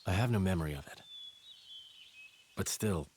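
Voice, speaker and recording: An actor playing a stoic, reserved swordsman in a video game cutscene, speaking in a terse, halting, solemn way. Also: low voice, animated, a little hiss or hum. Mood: subdued, solemn. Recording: loud orchestral music behind.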